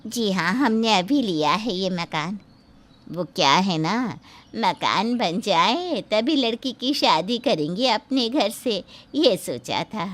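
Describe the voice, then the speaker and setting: A woman's voice: medium pitch, expressive, slow pace, a bit bright. A woman playing a pushy, boastful middle-aged mother of a bride in a dramatized moral story, speaking insistently. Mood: polite, explaining.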